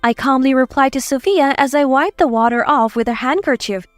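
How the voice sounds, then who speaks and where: A woman's voice: high-pitched, fairly quick, dry recording, studio quality, soft background music. A woman reading a scripted first-person story as its narrator.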